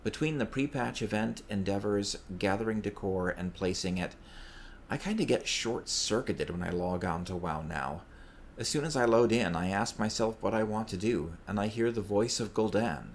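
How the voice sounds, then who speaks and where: A man's voice: fairly low pitch, normal speed. A man reading out a scripted report as a contributor to a podcast. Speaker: podcast listener sending in a report. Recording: clear background noise.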